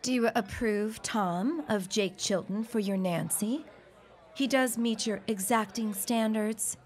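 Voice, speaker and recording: An actress playing a poised, curious young bride-to-be in a period audio drama, polite, refined and probing. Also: female voice, a little high, takes it slowly. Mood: arch.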